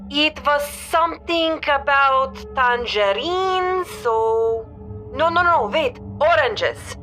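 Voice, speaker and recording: A high, bright voice of an anxious young girl in an audio drama. She speaks slowly and hesitantly, searching for words, over faint music. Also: animated, exaggerated voice.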